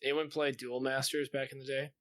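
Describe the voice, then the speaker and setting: A man's voice: medium pitch, little pitch movement, brisk, a bit bright, no room sound. Guy on a gaming podcast, slangy casual chat, mid voice, very dry sound.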